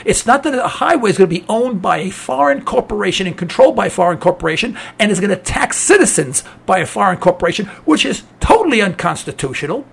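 A man's voice: high voice, very animated, fast, vocal fry, hardly any pauses, breathy edge. A man with a New York accent hosting a talk program, delivering a cynical political rant. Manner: cynical, ranting. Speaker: radio talk host.

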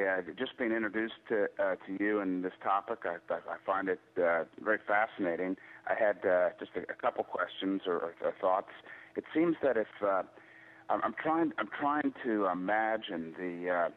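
A man's voice: low voice, moderate pace. A man calling in to a radio talk show, thoughtful and hesitant, with many fillers and restarts. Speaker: radio caller. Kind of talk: call-in question on talk radio. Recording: tinny phone line.

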